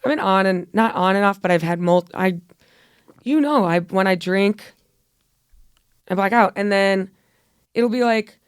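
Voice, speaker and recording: A woman's voice: medium pitch, unhurried, long pauses, smooth, no room sound, clean studio sound. A young woman from Buffalo, New York, a comedian guest on a podcast, who talks in a candid, rambling way and swears freely. She says she has been losing her voice. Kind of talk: casual podcast chat.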